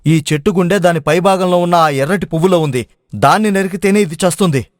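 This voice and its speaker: A man's voice: sits high, fast, no room sound, clean studio sound, natural voice. A man playing a wise village scholar on a quest in an audio storybook, speaking earnestly and emphatically. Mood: earnest, advising. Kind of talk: dramatic audio storybook acting.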